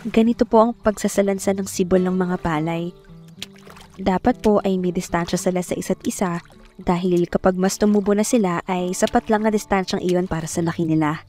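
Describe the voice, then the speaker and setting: A woman's voice: lowish voice, steady tone, a little fast, warm tone, soft background music, natural voice. A young woman playing a shy, hardworking farm girl who narrates her own story in a radio drama, polite and earnest. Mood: earnest, reflective. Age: young adult. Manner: polite, hesitant when addressing others. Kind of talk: first-person radio drama narration and dialogue.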